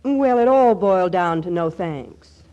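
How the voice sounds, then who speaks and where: An actress playing a sharp-tongued young secretary in a 1940s radio comedy, sarcastic and sweetly mocking. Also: female voice, lowish voice, expressive, a little slow, highs cut off. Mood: wisecracking. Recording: old radio broadcast recording.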